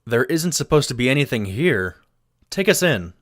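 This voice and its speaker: A man's voice: medium pitch, very animated, normal speed, fairly bright tone. A man playing an easygoing, curious cargo ship captain with a casual, wry manner. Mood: decisive.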